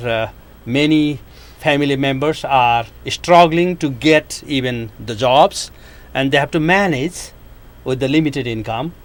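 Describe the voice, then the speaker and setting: Man in his 50s, economics professor guest in a TV studio, deliberate and slow-paced, pausing often between phrases. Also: medium pitch. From Nepal. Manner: measured, hesitant, with frequent pauses and fillers. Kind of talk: studio interview answers. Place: university TV studio.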